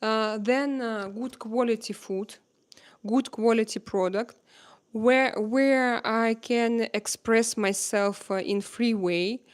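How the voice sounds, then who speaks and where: A woman, not a native English speaker, hosting a live chat with viewers and speaking hesitantly with many pauses to find her words. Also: on the high side, fairly even intonation, clean tone. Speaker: livestream host chatting with viewers. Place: home in Spain.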